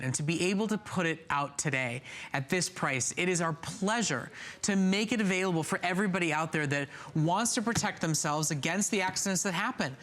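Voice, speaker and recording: A woman's voice: lowish voice, a bit bright. A woman hosting a shopping show, selling in a chatty, persuasive, rambling way. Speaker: shopping channel host. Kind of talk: live sales pitch, conversational.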